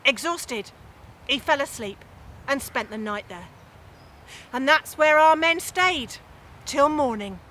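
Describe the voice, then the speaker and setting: A woman telling a humorous medieval tale theatrically, with dramatic pauses and emphasis. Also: high-pitched, animated. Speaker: storyteller.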